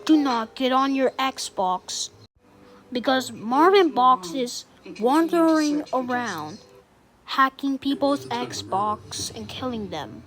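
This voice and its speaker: A woman's voice: high-pitched, animated, slow pace, poor audio. A woman delivers a scripted emergency broadcast announcement as an alert announcer, in a formal, solemn manner.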